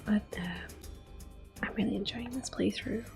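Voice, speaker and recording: A soft-spoken woman giving gentle ASMR gameplay commentary, often slipping into a whisper close to the microphone. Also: mid-range voice, slow pace, vocal fry. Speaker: host of a gameplay series. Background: soft game music.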